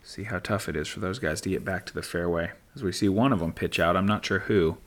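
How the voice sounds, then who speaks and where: A middle-aged man giving relaxed play-by-play commentary as a voice-over, easygoing and dry, thinking aloud as he goes. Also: deep voice, fast. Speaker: sports commentator. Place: voice-over, not at the course.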